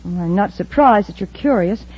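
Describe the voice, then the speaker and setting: A woman's voice: mid-range voice, expressive, moderate pace, darkish tone. An actress playing an imperious, scheming female crime boss in a 1950s radio serial. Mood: smoothly deceptive. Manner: haughty, commanding, smooth when lying. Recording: muffled old radio recording.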